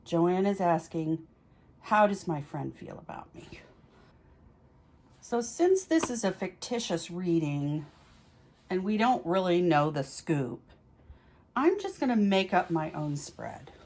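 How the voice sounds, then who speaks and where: A woman with a slightly low-pitched voice hosts a casual tarot vlog. She speaks very slowly, explaining each step in an informal, chatty way and thinking aloud as she goes. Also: sing-song, a little mellow. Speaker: tarot reader hosting a practice series.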